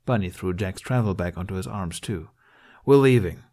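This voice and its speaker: A man's voice: sits low, brisk, a little mellow. A man reading a scripted story aloud as narrator. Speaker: podfic narrator.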